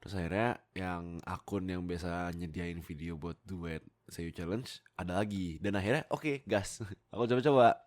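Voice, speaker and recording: A man's voice: low voice, normal speed, hardly any pauses, darkish tone. A young man, chatting casually and self-deprecatingly to his followers about his life as a voice actor, on a close microphone. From Indonesia. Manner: informal, self-deprecating, giggly. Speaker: amateur voice actor and content creator. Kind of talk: casual storytelling chat to followers. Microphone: close USB microphone.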